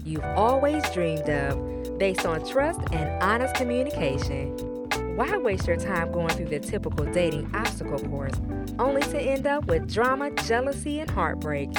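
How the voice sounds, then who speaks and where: Upbeat female announcer, lively pitch swings, loud guitar music behind. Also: normal speed. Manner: upbeat and sing-song. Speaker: show intro announcer. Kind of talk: scripted promo intro.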